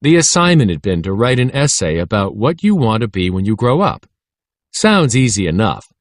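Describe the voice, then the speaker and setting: A man reading a children's story aloud as an audiobook narrator, with expressive delivery. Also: on the low side, dry recording, studio quality. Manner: expressive, voices dialogue between schoolboys. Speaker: audiobook reader.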